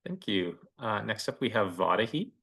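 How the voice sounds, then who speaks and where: A man's voice: fairly low pitch, big pitch swings, normal speed, vocal fry, bright, forceful tone. A man moderating an online information session in an informal, spontaneous way. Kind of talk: informal hosting of an online presentation.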